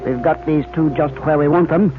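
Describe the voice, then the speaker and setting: An actor playing a cold, domineering, murderous crime boss in an old radio drama. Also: male voice, on the high side, steady tone, fairly quick, close to an everyday voice. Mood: coldly menacing. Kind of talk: 1940s radio acting. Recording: noisy, tinny old radio recording.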